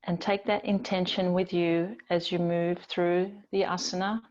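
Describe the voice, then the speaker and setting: A woman teaching yoga, guiding relaxation in a gentle, soothing, unhurried manner with long pauses between phrases. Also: fairly low pitch, level tone, lo-fi sound. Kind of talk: guided yoga and relaxation instruction.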